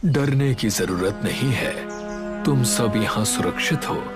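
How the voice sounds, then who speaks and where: A man playing a wise, reassuring magical talking tree in a cartoon story, solemn and measured. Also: fairly low pitch, animated. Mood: calm, reassuring. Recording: loud music over the voice. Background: loud background music.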